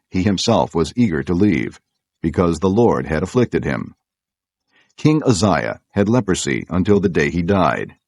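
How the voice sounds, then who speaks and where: A man narrating scripture from a script in a solemn, measured storytelling manner. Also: low voice, darkish tone, no echo.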